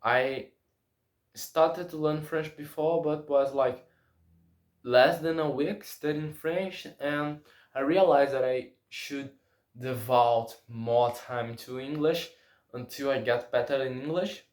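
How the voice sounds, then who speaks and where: Young guy, portuguese is his first language, talking english slow and halting, echoey room. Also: mid-range voice. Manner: halting, searches for words in a second language. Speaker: language-learning vlogger. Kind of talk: unscripted personal monologue.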